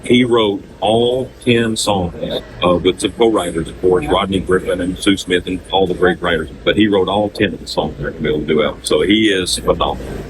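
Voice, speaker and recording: A man in an interview clip speaking quickly and emphatically, recorded from a distance with background noise. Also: sits low, steady tone. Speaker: gospel group member.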